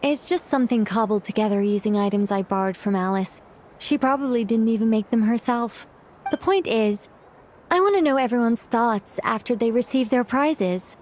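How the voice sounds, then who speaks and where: A woman's voice: on the high side, moderate pace, darkish tone, a little hiss or hum, close to an everyday voice. A woman voicing a proud, needy, whimsical ancient mage in an anime game, theatrical, chatty and self-conscious. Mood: exasperated. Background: music.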